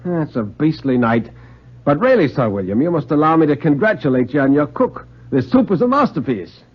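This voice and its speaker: A man voicing a nervous, vain British gentleman in his thirties in a radio drama, effusive and flustered. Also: a little high, very animated, fairly quick, breathy edge, pitched above the performer's own voice. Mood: flustered, polite. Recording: muffled 1950s radio recording.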